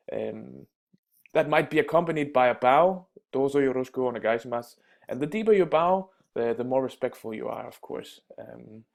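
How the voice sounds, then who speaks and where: A man teaching everyday phrases in a casual spoken lesson, pausing often with fillers and restarts. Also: mid-range voice, gravelly, a little mellow. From Denmark. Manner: halting, many fillers and restarts. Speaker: language teacher.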